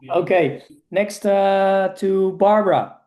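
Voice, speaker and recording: A man hosting an online meeting over a video call, speaking casually and haltingly, often repeating words. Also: high-pitched, steady tone, a little mellow. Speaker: meeting host.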